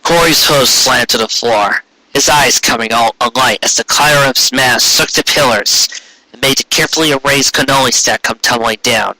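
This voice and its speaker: A man's voice: mid-range voice, moderate pace, pushed and bright, no room sound, poor audio. A man narrating a fan fiction story in a dramatic amateur reading style.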